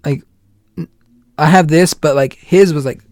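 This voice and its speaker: A man's voice: a little high, a little slow, a little mellow, dry recording, clean studio sound. A man in his late twenties hosting a casual podcast chat, rambling along with lots of "like" and retold quotes. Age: 20s. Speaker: podcast host.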